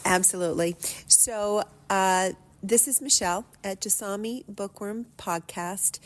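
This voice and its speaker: A woman's voice: medium pitch, a little slow. A woman podcast host wrapping up the episode in casual chat.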